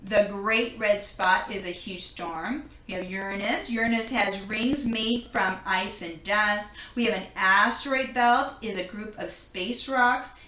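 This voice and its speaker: A woman reading a children's science picture book aloud in an explanatory way, pausing to point things out. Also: medium pitch, sharp tone. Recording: echoey room.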